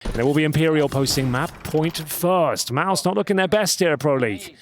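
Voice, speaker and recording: A man's voice: on the high side, expressive, fairly quick, slight background noise. A man giving playful live play-by-play commentary on an esports match. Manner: chatty, playful, coins pet terms. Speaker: esports caster. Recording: clicks and game gunfire behind.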